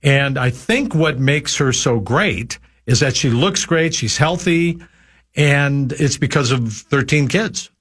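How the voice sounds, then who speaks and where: Man in his 70s, from minnesota, folksy halting storyteller, radio guest, casual chat. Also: medium pitch, animated, fairly bright tone. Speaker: regular guest.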